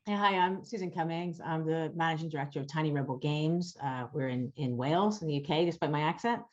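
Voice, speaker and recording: A woman, a game studio director on a panel, talking briskly and upbeat. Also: deep voice. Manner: brisk and upbeat, quick bursts. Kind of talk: panel discussion. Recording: dull lo-fi call audio.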